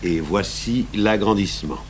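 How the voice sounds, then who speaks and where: A man acting a thoughtful, stubborn police commissioner in a French radio crime drama, speaking deliberately with hesitant pauses. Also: fairly low pitch, close to an everyday voice. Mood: measured, persuasive. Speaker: actor playing a police commissioner. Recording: noisy 1980s radio recording with hum.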